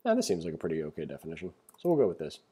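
A man giving a casual tutorial as an instructor, reading aloud and commenting informally as he thinks out loud. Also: sits low, huge pitch swings, rapid-fire, slightly rough. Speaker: programming instructor. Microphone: screen-recording voice-over.

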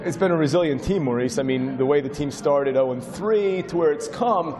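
Quick-talking man hosting a postgame show. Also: a little high, in a large hall, over music. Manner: quick, steering the panel. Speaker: studio host.

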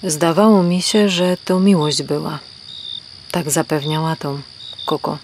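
A woman's voice: low voice, fairly even intonation, measured pace, slight background noise. A woman playing a naive, lovesick young hen in a children's audio play, meek and simple, tacking clucks onto her phrases. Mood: puzzled, meek.

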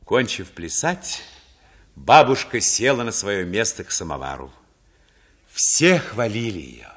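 A man playing the narrator of a radio drama, recalling childhood in an expressive, absorbed, poetic manner. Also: fairly low pitch, a little slow, slightly rough, exaggerated voice. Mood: swelling admiration. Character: male storyteller recalling his childhood with wonder. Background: music.

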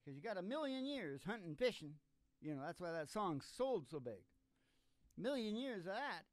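A man's voice: sits high, sing-song, moderate pace, no echo. A middle-aged or older man hosting a podcast, chatting in a casual, rambling way with blunt, salty, folksy talk into a close stand microphone. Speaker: singing DJ and podcast host. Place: small curtained music studio, indoors. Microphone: stand microphone close to the mouth.

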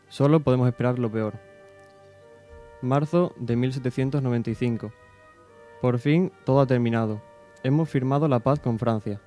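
A man's voice: mid-range voice, natural voice. A teenage boy from Spain playing a humble village priest of the 1790s, reading diary entries aloud in a small school radio studio. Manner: steady, plain reading-aloud delivery. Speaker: student reader on school radio. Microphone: desk microphone, headphones.

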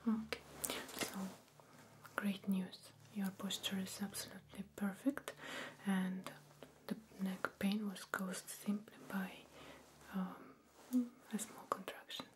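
A young woman speaking in a soft, breathy whisper, gently reassuring in an ASMR doctor roleplay, close to the microphone. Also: medium pitch, level tone, takes it slowly. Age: young adult. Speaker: ASMR performer playing a doctor. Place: small furnished room indoors.

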